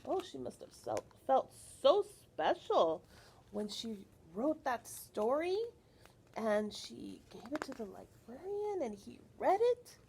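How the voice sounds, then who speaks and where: High-pitched, very animated woman reading to children. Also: slow, vocal fry, a little hiss or hum, poor audio. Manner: expressive, addresses children with questions and explanations. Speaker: storyteller reading aloud to children. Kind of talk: animated picture-book read-aloud with teacherly asides.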